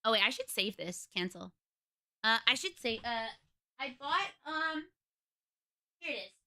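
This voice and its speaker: A young woman streamer with a raspy voice, chatting casually and rambling to her viewers from home. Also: on the high side, very animated, unhurried, sharp tone. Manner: chatty, rambling, retells conversations.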